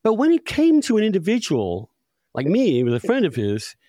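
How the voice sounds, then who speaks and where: A man's voice: high voice, wildly swooping pitch, moderate pace, warm tone, no echo, clean studio sound. A man speaking emphatically and with strong opinions in a conversational interview, as a podcast host.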